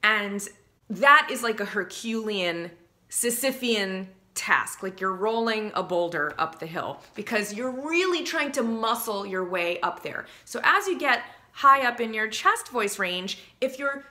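A woman in her thirties speaks straight to the camera from a small room indoors, chatty and animated as she teaches singing. Her voice is bright and forward, and she drops readily into short sung phrases to illustrate. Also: mid-range voice, measured pace. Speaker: vocal coach.

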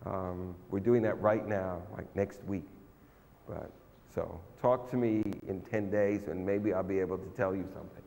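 An older man, a guest lecturer, answering audience questions in a relaxed, hesitant way with many pauses. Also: deep voice, vocal fry. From United States. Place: large frescoed hall, indoors. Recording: long hall reverb.

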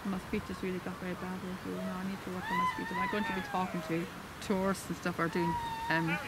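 A visitor at a horse stud who talks loudly with a severe stammer and keeps repeating words. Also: mid-range voice, huge pitch swings, quick pace. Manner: loud voice. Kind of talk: casual chat to the camera operator. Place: outdoors by grass fields with wind. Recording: loud wind and outdoor noise.

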